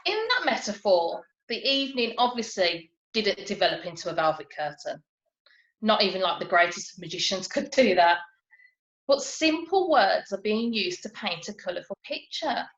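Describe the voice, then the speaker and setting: A woman's voice: mid-range voice, expressive, normal speed, fairly bright tone. A woman tutor teaching an online lesson, explaining step by step with examples and prompting questions. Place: indoors, on a video call. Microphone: video call. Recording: dull, echoey video-call audio.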